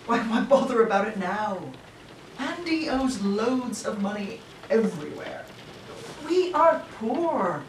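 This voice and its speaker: A woman's voice: a little high, sing-song. A woman playing a doting, lovestruck spouse in an audio drama, speaking tenderly and insistently with effusive endearments. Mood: agitated, pleading. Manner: slow, repetitive, effusive endearments. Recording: roomy, distant, noisy audio play recording.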